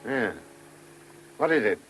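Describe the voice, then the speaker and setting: A man playing a dogged, urbane police chief inspector in a radio play, measured and probing, with a wry touch. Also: medium pitch, expressive, over music. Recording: noisy old radio recording.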